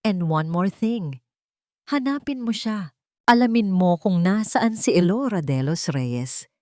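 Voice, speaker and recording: A woman's voice: mid-range voice, lively intonation, a little slow, no room sound, lightly acted. Woman playing commanding, desperate male CEO, dry sound. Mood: urgent, determined. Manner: forceful, urgent orders.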